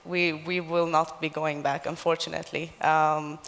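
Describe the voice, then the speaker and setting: A woman's voice: sits low, flat delivery, moderate pace, fairly bright tone. A woman in her twenties from Sweden, giving a personal account as a panelist. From Gothenburg, Sweden. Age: 20s. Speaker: panelist, activist. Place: large conference hall stage. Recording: reverberant conference hall.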